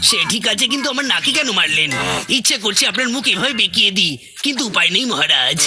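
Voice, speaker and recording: A man's voice: high-pitched, very animated, fast talker. A man playing a bossy, scheming elder-brother cat in a comic cartoon dub, grumbling and mock-deferential. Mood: flattering, aggrieved. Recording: loud music behind the voice.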